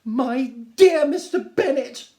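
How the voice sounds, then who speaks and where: A man voicing a fussy, excitable middle-aged wife, gushing and plaintive, in a theatrical reading of a classic novel. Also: very high-pitched, slow, voice pushed up, full character voice. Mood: exasperated. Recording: roomy, echoing indoor sound.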